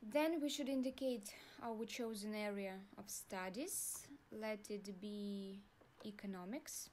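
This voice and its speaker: A young woman with a Russian accent, calmly walking viewers through an online form in a tutorial. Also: medium pitch, a little hiss or hum. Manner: slow, deliberate, pauses while clicking. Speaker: university staff guide. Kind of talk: screen-recorded tutorial walkthrough.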